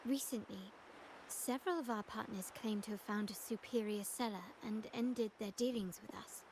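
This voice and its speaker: An actress playing a refined, guarded young heiress in a video game, speaking in an elegant, formal and composed manner. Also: female voice, mid-range voice, normal speed, noisy background, lightly acted. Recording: music behind the voice. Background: loud game music.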